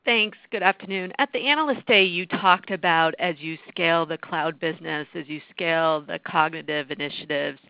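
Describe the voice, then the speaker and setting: Woman, gravelly voice, precise analyst question, dry muffled call audio. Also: low voice, normal speed, few pauses, dry recording.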